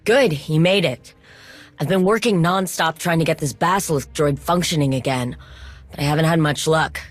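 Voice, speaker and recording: A woman's voice: sits low, husky. A woman voicing a proud, practical, guarded warrior mechanic in a video game cutscene. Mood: matter-of-fact.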